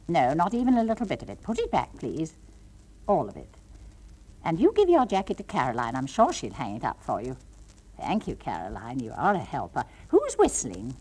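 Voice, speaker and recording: A woman playing a patient, brightly patronising English nursery school teacher in a comic monologue, coaxing and gently scolding small children in a sing-song way. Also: fairly low pitch, breathy edge, heavily acted. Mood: patient, firm. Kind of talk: comic monologue, one side of classroom talk.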